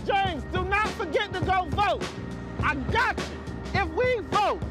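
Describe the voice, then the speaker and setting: A man rallying people to vote, energetic and exhortatory. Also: very high voice, takes it slowly. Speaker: voter mobilization activist. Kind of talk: rallying get-out-the-vote appeal. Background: loud music.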